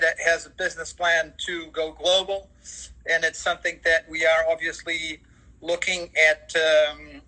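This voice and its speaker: A man in his fifties with a Portuguese accent, giving halting, deliberate interview answers on a livestream call. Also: on the high side, bright, forceful tone, low-quality recording. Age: 50s. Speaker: guest, sports events general manager.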